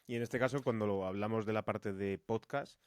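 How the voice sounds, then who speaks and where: Lower-voiced man, interviewing. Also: a little fast, darkish tone, smooth, no room sound, clean studio sound. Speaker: podcast co-host. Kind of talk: casual interview conversation.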